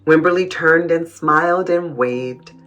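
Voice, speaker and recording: A woman reading a picture book aloud expressively to children, pausing to comment on the story to her young listeners. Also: low-pitched, fairly bright tone, faint music behind. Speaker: children's read-aloud host.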